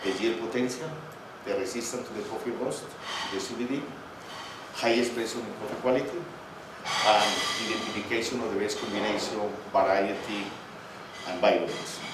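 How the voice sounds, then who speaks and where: A man giving a conference lecture and then answering questions, halting and restarting as he searches for words. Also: on the low side, fairly even intonation. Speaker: invited lecturer on coffee breeding. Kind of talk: conference presentation and panel answers. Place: conference hall. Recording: echoey hall, from far off, with clear background noise.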